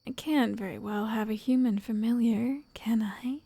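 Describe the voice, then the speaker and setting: A lovestruck yandere witch played by a woman murmurs softly to the listener in an ASMR roleplay. Her voice is fairly high yet mellow, with creaky edges, and she sounds tender, coaxing and quietly controlling. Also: steady tone, no echo. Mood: musing, smug. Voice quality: breathy, soft, often whispering. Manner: slow, tender, condescending endearments.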